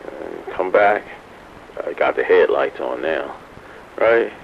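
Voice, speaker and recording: A man's voice: sits low, lively intonation, takes it slowly, vocal fry. A man chatting casually over CB radio in folksy slang, through a muffled, noisy transmission. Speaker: radio operator.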